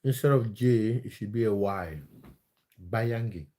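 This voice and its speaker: A man from Cameroon talks casually to his livestream audience, reading out their comments and replying. His voice is mellow and dark. He hesitates often and repeats himself as he thinks. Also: lowish voice, unhurried. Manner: reads out viewer comments and answers them, with many fillers and repeats. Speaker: livestream host answering chat. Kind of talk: casual livestream chat about football.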